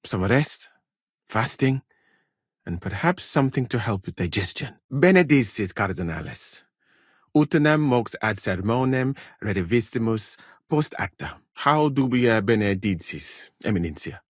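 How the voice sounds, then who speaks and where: A man playing a courteous, learned foreign physician in a medieval video game, speaking in measured, formal phrases with calm thoughtfulness. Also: fairly low pitch, dry recording, studio quality. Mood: earnest, reflective.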